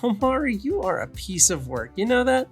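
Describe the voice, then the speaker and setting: A woman's voice: mid-range voice, big pitch swings, normal speed, slightly rough, faint music behind, heavily acted. A woman playing a weary, sardonic young male witch in an audio fantasy drama, dry and grumbling.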